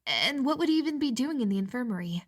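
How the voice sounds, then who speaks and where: A woman playing an anxious, deferential subordinate to a captain, hushed and hesitant, in a fantasy audio drama. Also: high voice, lively intonation, quick pace. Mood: worried.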